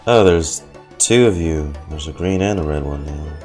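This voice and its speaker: A man doing casual live commentary on a game, halting, deadpan and often swearing. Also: a bass voice. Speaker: streamer reacting to a game. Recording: game music behind. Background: video game music and dialogue.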